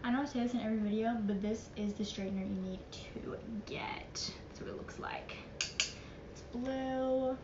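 A woman's voice: a little high, normal speed, in a whisper. A young woman vlogging while she tidies her bedroom, chatty and rambling, mock-dramatic about small things. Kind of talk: casual clean-with-me vlog chatter. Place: carpeted bedroom, indoors. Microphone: phone camera set across the room. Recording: echoey bedroom, dull phone audio with steady hiss.

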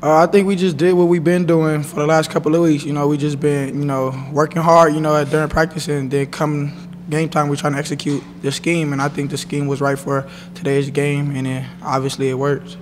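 A young man, a college football player, answering reporters after a game in a relaxed, plain way with many fillers. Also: on the high side, a little fast, big-room sound, a little hiss or hum. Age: young adult. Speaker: college football defensive back. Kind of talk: postgame press conference answers. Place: press conference room, indoors. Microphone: table microphone.

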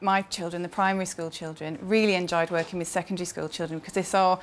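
A woman's voice: lowish voice, steady tone, fast, heavy background noise. A British woman, a primary school teacher, giving conversational interview answers.

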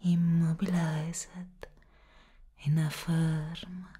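A woman playing a seductive, sinister plant villainess in a breathy whisper, as soft-spoken ASMR roleplay, slow and deliberate. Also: low-pitched, little pitch movement, full character voice. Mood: commanding, menacing.

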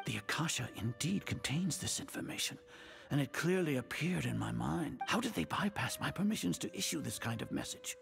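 An actor playing an elderly grand sage, a proud scheming scholar who talks in a pompous, suspicious way and mutters to himself. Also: male voice, on the high side, animated, brisk, vocal fry, a bit bright, faint music behind, full character voice. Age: older.

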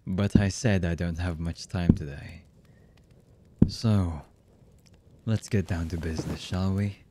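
A man acting a very slow, deep, husky villain. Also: steady tone, heavy background noise. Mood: menacing, mock-polite. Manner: slow, menacing, mock-polite. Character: cold, heartless male villain boss, calmly threatening captives. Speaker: voice actor. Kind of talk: ASMR villain roleplay acting.

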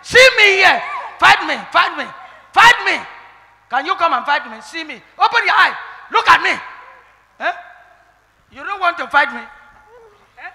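High, bright-voiced Nigerian preacher shouting decrees. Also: male voice, lively intonation, a little slow, pauses often. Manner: commanding, repeats questions, bursts into shouted decrees. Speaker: prophet leading a deliverance session. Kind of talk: deliverance prayer ministration. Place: large church hall indoors with a seated congregation. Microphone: handheld microphone. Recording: long reverb of a church hall.

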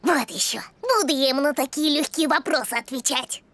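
A high, childish cartoon voice of the littlest puppy brother. He sounds naive but self-assured, with faint music underneath. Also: brisk, a bit bright, dry recording. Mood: dismissive. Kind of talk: animated cartoon acting.

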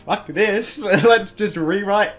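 A man's voice: high-pitched, takes it slowly, fairly bright tone, soft background music. A man in casual, opinionated gaming commentary, chatting with a co-host and swearing freely. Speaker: let's play co-host.

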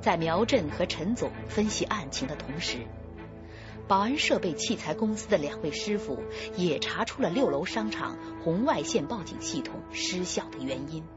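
A woman's voice: on the low side, lively intonation, moderate pace, lo-fi sound, lightly acted. A woman narrating a radio crime drama as a composed storyteller. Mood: composed narration. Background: music.

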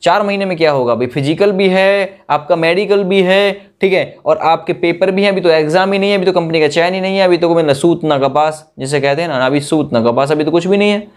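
A man's voice: fairly high pitch, a little fast, hardly any pauses. A young man giving an informal exam news update to camera in an emphatic, colloquial way full of rhetorical questions. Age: young adult. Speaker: exam coaching YouTuber.

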